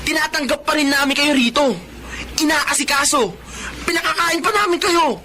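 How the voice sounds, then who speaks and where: Casting: earnest young Filipino son in radio drama, very high bright voice, emotional, noisy recording. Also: male voice, moderate pace. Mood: hurt, reproachful. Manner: emphatic, emotional delivery. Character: earnest, hurt young adult son training as policeman.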